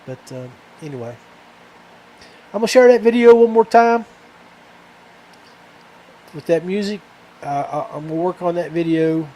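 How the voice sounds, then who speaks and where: A man hosting a fishing podcast in a casual, rambling, halting way that often trails off. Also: fairly high pitch, expressive, warm tone, poor audio.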